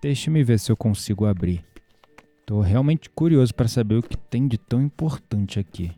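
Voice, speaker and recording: An actor playing an intrigued, gently teasing young Brazilian man in an audio drama. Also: medium pitch, expressive, moderate pace, no room sound, natural voice.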